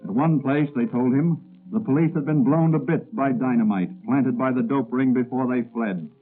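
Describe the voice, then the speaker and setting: A man's voice: mid-range voice, moderate pace. A man giving dramatic scripted narration in the style of 1940s radio. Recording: narrow-band vintage radio recording. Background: music.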